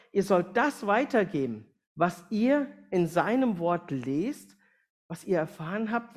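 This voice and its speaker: A man's voice: high voice, a little mellow. A man speaking to a congregation as a guest mission speaker, plain-spoken, addressing listeners directly with questions and everyday illustrations. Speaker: missionary aviation organisation speaker, guest preacher. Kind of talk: sermon and mission presentation to a congregation.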